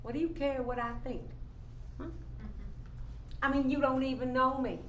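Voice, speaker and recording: Woman playing a high-voiced, blunt, jaded skeptic. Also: fairly even intonation, moderate pace, a bit bright. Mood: dismissive, softening. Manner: folksy, challenging, pointed rhetorical questions. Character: jaded, skeptical, blunt working tent supplier. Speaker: actor in a staged play reading. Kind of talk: staged play reading, naturalistic dialogue. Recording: echoey room, faint background noise.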